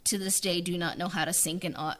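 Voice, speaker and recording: A woman's voice: on the low side, fairly even intonation, fast, a bit bright. A woman chatting casually on a podcast as a writer-director, informal and hesitant in the way she talks. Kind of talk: casual interview chat.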